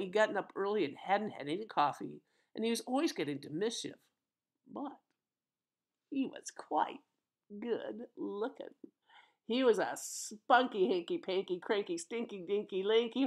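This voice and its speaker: A woman in her sixties reading a children's picture book aloud in a playful, dramatic, sing-song way, recorded at home on a webcam microphone. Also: medium pitch. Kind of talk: animated children's storybook read-aloud. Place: indoor room at home.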